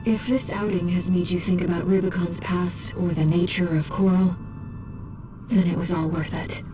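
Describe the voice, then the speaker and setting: Actress as a composed female mech-game companion under loud music. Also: lowish voice, fairly even intonation, moderate pace, far from the mic, natural voice. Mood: composed, curious. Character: composed female voice companion guiding a mech pilot, gently curious. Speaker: mission support. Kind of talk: scripted video game dialogue.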